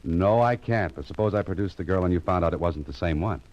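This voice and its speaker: An actor playing a wry, cool-headed private investigator in 1940s radio style, with a sardonic way of talking. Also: male voice, sits low, fast, natural voice. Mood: wry, puzzled. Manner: sardonic, talks to himself in narrated asides. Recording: dull vintage radio recording, music behind.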